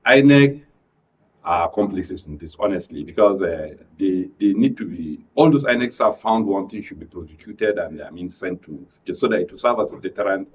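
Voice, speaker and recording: A man with a Nigerian accent giving halting, unscripted political commentary as a panel guest. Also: deep voice, animated, distant mic. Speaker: studio guest, public affairs commentator. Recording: dull, off-mic audio with background noise.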